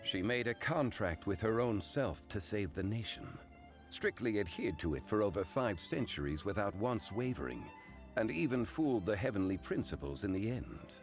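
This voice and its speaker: A man playing a solemn, dignified statesman in a video game, speaking in a formal, measured, grave manner. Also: lowish voice, sing-song, faint music behind. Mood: solemn, respectful.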